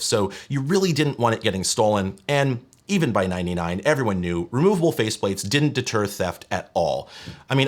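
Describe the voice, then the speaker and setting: A man presenting as a video host, explaining hardware in a casual, wry and emphatic way with colloquial phrasing. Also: fairly low pitch. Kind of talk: casual explanatory hands-on product teardown.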